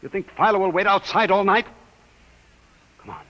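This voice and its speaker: A man's voice: high voice, normal speed, highs cut off, lightly acted. An American actor playing a secretive, commanding man in a 1950s radio drama, speaking with urgent, reassuring authority. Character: secretive, commanding man calmly steering a frightened companion. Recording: noisy, band-limited old radio recording.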